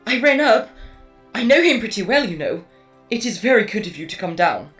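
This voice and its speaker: A woman playing an anxious, well-meaning, fretful young student in an audio drama, with a husky voice. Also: mid-range voice, sing-song, brisk, fairly bright tone, faint music behind. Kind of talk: acted audio drama dialogue.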